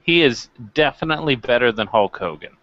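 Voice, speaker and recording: A man's voice: mid-range voice, animated, a little fast, fairly bright tone, dry recording, lo-fi sound. A man debating casually as a review co-host, insistent and sweary, repeating his points.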